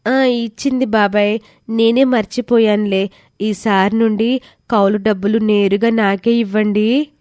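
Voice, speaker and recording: A woman's voice: mid-range voice, steady tone, unhurried, a little mellow, dry recording, natural voice. Woman acting village girl, storybook drama, polite, earnest, modest, close dry sound. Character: Anjali, dutiful, reserved village girl. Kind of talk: scripted Telugu moral story acting.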